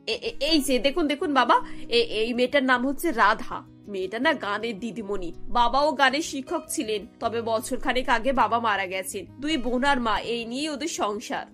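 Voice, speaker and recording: Woman playing a high-voiced dutiful daughter-in-law. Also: expressive, moderate pace, fairly bright tone, soft background music. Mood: coaxing. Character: dutiful middle-aged daughter-in-law, respectful and eager to please. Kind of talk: cartoon character voice.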